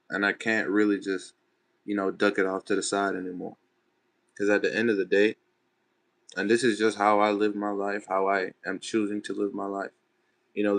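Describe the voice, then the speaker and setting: A man's voice: lowish voice, a bit bright. A young man from Texas, chatting casually on a late-night livestream and answering viewers' comments in loose, slangy talk. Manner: laid-back, chatty, loose slangy phrasing. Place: bedroom at home.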